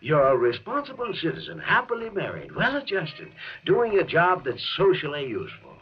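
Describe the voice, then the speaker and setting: An American actor playing a meddling, suspicious small-town doctor with a fussy, insistent manner in a 1950s radio drama. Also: male voice, a little high, huge pitch swings, moderate pace, slightly rough, muffled recording, heavily acted. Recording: noisy, band-limited old radio recording.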